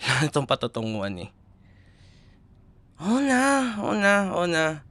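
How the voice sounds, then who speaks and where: A man's voice: fairly high pitch, huge pitch swings, measured pace, a bit bright, no echo, exaggerated voice. A young man with a Filipino accent playing a caring but exasperated college boyfriend in an intimate audio roleplay, talking casually and earnestly in mixed Tagalog and English. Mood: frustrated, hurt. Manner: casual Taglish, coaxing and earnest.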